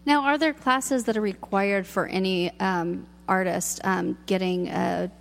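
A woman hosting a radio interview, chatty and enthusiastic, with a loud voice that projects. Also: mid-range voice, fairly even intonation, unhurried, creaky. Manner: enthusiastic, chatty, laughs often. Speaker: radio show host.

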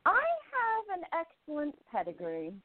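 Woman playing a brash flapper columnist, lively swooping delivery, tinny phone-line sound. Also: high voice, moderate pace, dry recording. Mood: confident. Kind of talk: amateur radio play acting.

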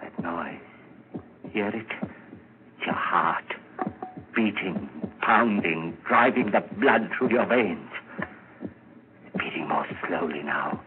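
Obsessive murderer for old radio thriller, very low and unhurried, tormented, on a muffled vintage recording. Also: male voice, background noise. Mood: grim, fixated. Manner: hushed, halting dramatic monologue. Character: tormented murderer whispering to himself, stealthy and obsessive. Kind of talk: 1940s radio horror acting.

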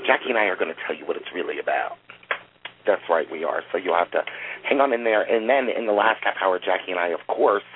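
A man in his forties with a Southern US accent, co-hosting a casual talk radio show in a wry, joking way. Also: on the low side. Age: 40s. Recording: phone-line sound with faint noise.

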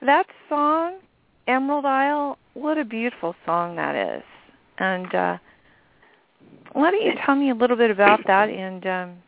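A woman hosting an internet talk radio show, upbeat and chatty, a little raspy and tired from allergies. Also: on the high side, expressive, moderate pace, slight background noise. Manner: upbeat, enthusiastic, some filler ums. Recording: phone-line call-in radio audio.

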